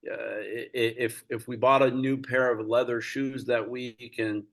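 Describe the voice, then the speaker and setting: A man raised in the United States, a rabbi speaking earnestly in a lecture-style talk over a video call, warming into animated storytelling. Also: mid-range voice, unhurried. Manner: earnest, storytelling, gets animated and laughs while recounting.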